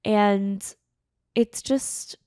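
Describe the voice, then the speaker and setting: A woman's voice: mid-range voice, fairly even intonation, very slow, warm tone, smooth, no echo, studio quality. A woman in her late twenties hosting her own podcast, talking alone in an earnest, chatty way with lots of fillers. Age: late 20s. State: tearful, emotional. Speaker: podcast host. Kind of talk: casual solo podcast monologue.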